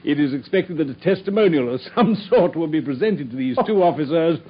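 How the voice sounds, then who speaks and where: A genial English gentleman companion to a detective in a vintage radio drama. His voice is husky and fairly high, with formal, measured phrasing. The recording is dull and lo-fi. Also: lively intonation. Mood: wry, amused.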